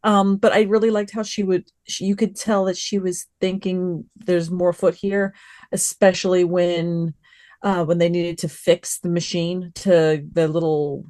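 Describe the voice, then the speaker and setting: Expressive woman on a podcast panel. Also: fairly low pitch, measured pace, no echo. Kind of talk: casual review chat.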